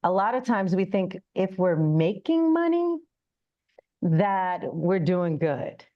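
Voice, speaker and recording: A woman's voice: on the low side, sing-song, slow, dull audio. A woman giving an informal business presentation as a guest speaker, conversational, using rhetorical questions and acted-out examples.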